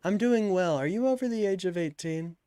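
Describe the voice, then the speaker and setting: Higher-voiced, slangy male livestream host. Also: brisk, dark tone, smooth. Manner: slangy, joking, swearing, addresses chat. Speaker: live call-in stream host. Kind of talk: casual livestream political debate chat.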